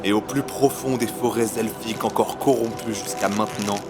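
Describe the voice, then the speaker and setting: Guy narrating epic fantasy story, lowish voice, loud music behind. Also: fairly even intonation, normal speed. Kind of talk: scripted dramatic fantasy narration.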